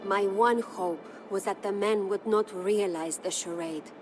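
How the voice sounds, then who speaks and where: A woman's voice: mid-range voice, steady tone, measured pace, darkish tone, natural voice. An actress playing a proud, grieving medieval Spanish noblewoman who narrates her story in a solemn, stately, formal way for a video game. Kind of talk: dramatic scripted storytelling. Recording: studio narration over prominent music.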